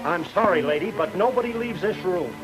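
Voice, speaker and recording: A man's voice: high-pitched, animated, brisk, rough recording. An actor playing a firm, authoritative policeman guarding a witness in an old time radio drama. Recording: old radio recording with music.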